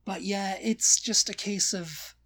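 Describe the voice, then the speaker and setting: A man's voice: sits high, fairly even intonation, slow pace, bright, forceful tone. A man from Britain with a light, watered-down British accent, co-hosting a casual podcast chat, rambling and joking and slipping into other accents. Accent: light British with Welsh touches. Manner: rambling, jokey, puts on accents mid-story. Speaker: podcast co-host.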